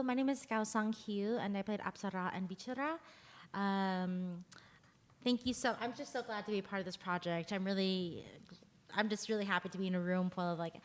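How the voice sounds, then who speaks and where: A woman from Minnesota with an American accent, talking casually and gratefully as an actor in a discussion. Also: medium pitch. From Minnesota, USA. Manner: enthusiastic, grateful. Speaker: actor in a play. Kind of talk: casual self-introduction in a group discussion. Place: room in a library. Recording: long reverberant room sound.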